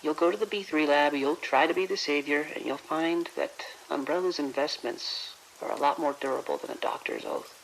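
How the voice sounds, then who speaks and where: Low-voiced, condescending villainess played by a woman. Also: vocal fry, slight background noise, low-quality recording. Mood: quietly menacing. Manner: condescending, measured, veiled threats. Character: cold, condescending female corporate villain, quietly menacing. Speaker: voice actor in an audio drama. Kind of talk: dramatic horror audio drama dialogue.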